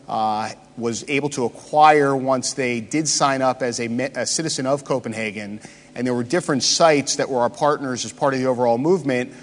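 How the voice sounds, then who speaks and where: Man presenting in a reverberant hall. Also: mid-range voice. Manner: halting, many "uh" fillers. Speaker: conference speaker. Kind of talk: conference presentation. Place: large conference hall.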